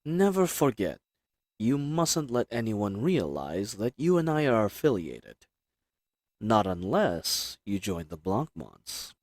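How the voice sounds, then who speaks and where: Man playing confident assassin, flirtatious, teasing, slow measured delivery, expressive mid-range pitch, audio roleplay. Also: no room sound, clean studio sound, exaggerated voice. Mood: teasing, warning. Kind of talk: scripted roleplay audio drama.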